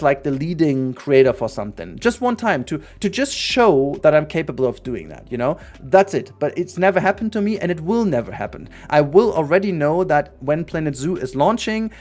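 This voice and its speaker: A man's voice: fairly high pitch, a little mellow. A young man who is not a native English speaker, talking earnestly and rambling in a casual livestream chat, with many restarts. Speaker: streamer.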